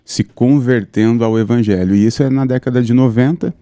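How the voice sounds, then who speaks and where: A man in his thirties from São Paulo state, a pastor telling his life story fluently in a relaxed interview. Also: mid-range voice, fairly quick, dry recording, studio quality. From São Paulo state, Brazil. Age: 30s. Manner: steady, fluent storytelling with frequent "né". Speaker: pastor, guest. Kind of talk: conversational interview, personal account.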